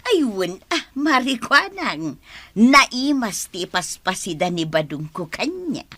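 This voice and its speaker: Radio drama: easygoing gossip, unhurried delivery, dry close sound, Ilocano Filipino accent. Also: female voice, mid-range voice, very animated, pushed and bright, no room sound, full character voice. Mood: gossipy.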